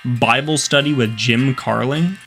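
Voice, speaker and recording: A young man hosting a reaction video with casual, slangy commentary and drawn-out emphasis. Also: medium pitch, creaky, a bit bright, faint music behind.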